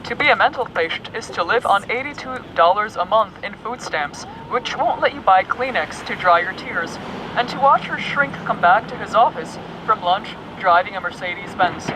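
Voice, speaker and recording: A man's voice: sits high, lively intonation, normal speed. A man reading lines of a poem aloud at a public protest. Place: outdoors at a street protest. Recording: distant mic, very loud street noise.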